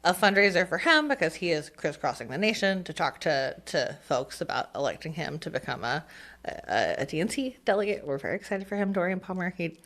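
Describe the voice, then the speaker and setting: A woman co-hosting a podcast, chatting casually about local politics, often laughing and pausing with fillers. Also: fairly low pitch, big pitch swings, fairly bright tone. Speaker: podcast co-host.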